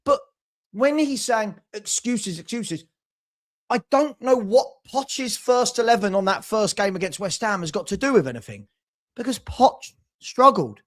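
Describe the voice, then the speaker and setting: A man on a football fan livestream, arguing his point in a brisk, reasoned way and checking in with rhetorical tag questions. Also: high voice, expressive. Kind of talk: casual argumentative chat.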